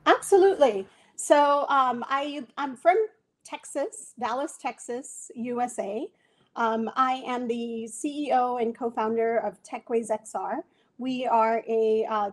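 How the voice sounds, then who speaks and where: A woman from Bangladesh, a tech executive, answering interview questions remotely in a measured way with frequent "um"s. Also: on the high side.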